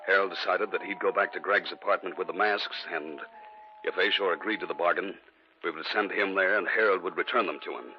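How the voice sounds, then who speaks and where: Male actor as earnest husband narrator, low, expressive, dull old radio sound with music. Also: fairly quick, lightly acted. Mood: tense. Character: anxious husband Bert, narrating his ordeal. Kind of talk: 1950s radio drama narration and acting.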